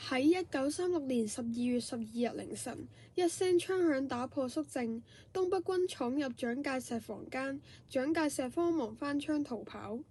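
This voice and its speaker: A woman's voice: high voice, expressive, moderate pace, hardly any pauses, heavy background noise. A woman giving scripted narration for a radio drama.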